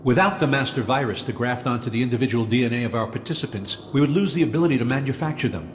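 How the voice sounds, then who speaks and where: An actor playing a calm, smug rogue scientist in an audio drama, speaking with measured, mocking politeness. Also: male voice, medium pitch, slight background noise, natural voice. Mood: coldly menacing.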